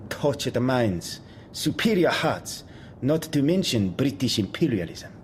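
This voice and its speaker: A man's voice: mid-range voice, big pitch swings, unhurried, slight background noise. A man playing a solemn, cryptic, intense neighbour in an audio drama, speaking in grave clipped pronouncements. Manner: grave, declarative, clipped phrasing.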